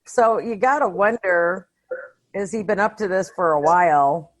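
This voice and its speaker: A woman's voice: mid-range voice, unhurried, low-quality recording. A middle-aged woman chatting informally about a film as a podcast co-host, given to anecdotes. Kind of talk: casual film discussion.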